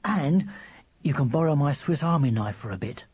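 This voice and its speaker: A man's voice: fairly high pitch, animated, normal speed, darkish tone, breathy edge, no room sound. A man voicing a schoolboy older brother in a storybook, kindly, hesitant and a little lofty. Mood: generous.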